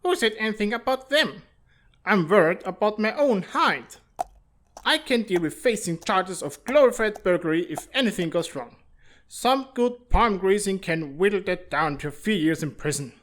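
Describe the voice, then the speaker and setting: A woman's voice: mid-range voice, animated, normal speed, lightly acted. A woman playing a brash, self-serving commander, blunt and skeptical, in a dramatic comic fandub. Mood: wary, defiant.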